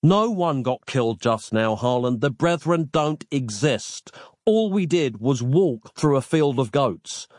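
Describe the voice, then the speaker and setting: A man's voice: mid-range voice, lively intonation, a little slow, a little mellow, no room sound, clean studio sound, voice pushed up, lightly acted. A man voicing an exasperated, sarcastic modern man who complains incredulously in an audio story.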